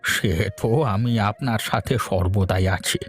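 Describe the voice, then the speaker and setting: A man's voice: lowish voice, slow pace. A man playing a generous, self-satisfied village elder in a cartoon folk tale, hearty and declamatory. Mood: pleased, hearty. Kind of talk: cartoon fairy-tale dialogue. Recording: faint music behind the voice.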